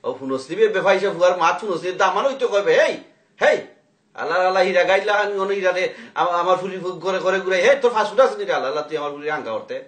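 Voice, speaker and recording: Fast, high-pitched scolding Sylheti man. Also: animated, fairly bright tone. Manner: scolding, indignant, repeats himself. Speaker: prank call participant, speaking as an angry father. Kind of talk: heated phone argument. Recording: echoey phone call recording.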